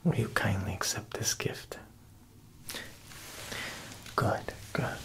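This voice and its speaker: A young man playing a smooth, menacing Italian mob boss tailor in a whispered ASMR roleplay, coaxing and deliberate with veiled threat. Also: fairly low pitch, a bit bright. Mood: smooth, generous. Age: young adult. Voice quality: breathy whisper with creaky edges. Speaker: ASMR performer.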